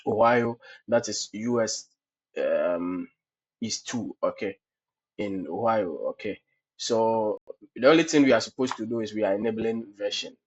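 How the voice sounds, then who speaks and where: Husky low-voiced man with a cold. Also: a little slow. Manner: explains step by step, with frequent fillers and "okay, so". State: has a cold. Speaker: tutorial host. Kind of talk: screen-recorded cloud lab walkthrough. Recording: dull lo-fi screencast audio.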